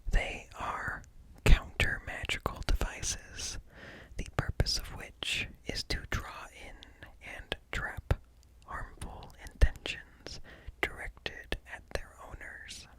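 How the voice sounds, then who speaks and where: A man whispering an ASMR reading in a hushed, deliberate way, very close to the microphone. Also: low-quality recording. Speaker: ASMR host reading articles. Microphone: close up, ear-to-ear stereo.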